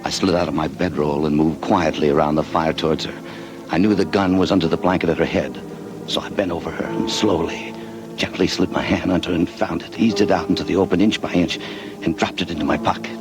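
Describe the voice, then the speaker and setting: A man's voice: sits low, steady tone, brisk, natural voice. An actor playing a tough, hard-boiled adventurer who narrates his own story in clipped 1940s radio style. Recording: old radio broadcast with music bed.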